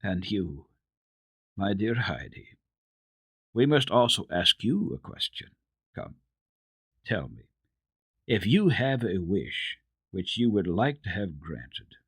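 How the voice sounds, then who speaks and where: A man's voice: low-pitched, very animated, takes it slowly, pauses often, breathy edge, no echo, heavily acted. A man voicing a kindly, pious, elderly grandmother with an encouraging manner in a storybook reading. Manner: encouraging, gently exclamatory. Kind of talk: dramatic reading of children's classic.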